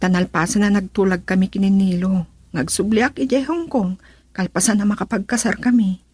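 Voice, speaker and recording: A woman's voice: medium pitch, brisk, darkish tone, husky, close to an everyday voice. A woman acting an unhappy young mother with an Ilocano accent in a radio drama. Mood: wistful. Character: unhappy young mother regretting her marriage.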